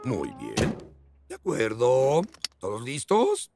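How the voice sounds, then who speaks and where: A man's voice: mid-range voice, wildly swooping pitch, takes it slowly, music in the background, heavily acted. An actor voicing a childish, petty, bumbling man in a Latin American Spanish cartoon dub, mumbling and exclaiming in short grunts and oohs. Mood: muttering, reluctant. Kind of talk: Spanish-dubbed slapstick cartoon acting.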